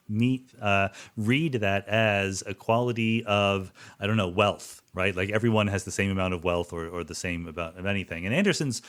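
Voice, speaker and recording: A man hosting a conversational podcast interview, thinking aloud in a reflective, careful way. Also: fairly low pitch. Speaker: podcast host interviewing a guest.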